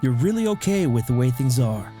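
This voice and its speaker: A man's voice: mid-range voice, sing-song, a little fast, warm tone, faint music behind, close to an everyday voice. A man playing a blunt, nosy but caring father in a comic dub, probing and scolding. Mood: concerned. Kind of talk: amateur comic dub voice acting.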